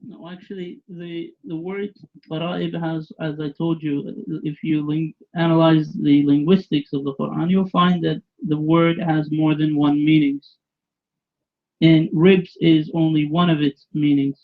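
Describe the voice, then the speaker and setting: A man calling into an online debate, answering in a hesitant, measured way with many fillers. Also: on the high side, level tone. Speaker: debate caller. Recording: tinny phone-like call line.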